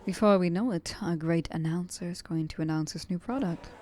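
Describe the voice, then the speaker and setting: Female actor as immortal rich vampiress, ASMR roleplay. Soft-edged mellow tone, poised, teasing, world-weary and possessive. Slightly noisy recording. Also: lowish voice, a little fast, lightly acted. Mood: poised, hospitable.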